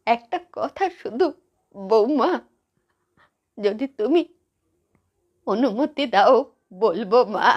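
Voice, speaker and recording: A woman playing a meek, sorrowful elderly mother-in-law in a family skit, pleading in a halting, faltering way. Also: fairly high pitch, animated, highs cut off. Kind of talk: solo voice-modulated Bengali family skit.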